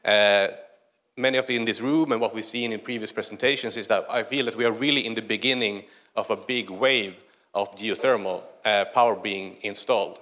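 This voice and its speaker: A man from northern Sweden with a Swedish accent, giving a conference presentation in a large hall in a conversational way with many "uh" pauses. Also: fairly low pitch, steady tone, a bit bright. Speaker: conference speaker.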